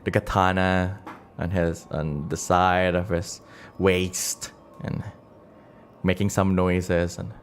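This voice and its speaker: A man narrating an improvised storytelling stream as game master, halting as he searches for words. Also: deep voice, flat delivery, slight background noise. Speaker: streamer and game master of a solo tabletop campaign.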